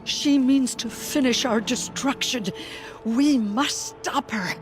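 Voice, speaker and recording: Bitter, zealous old matriarch. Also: female voice, sits high, slow pace. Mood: scornful, alarmed. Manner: accusing, zealous, formal. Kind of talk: video game voice acting. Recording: faint music behind the voice.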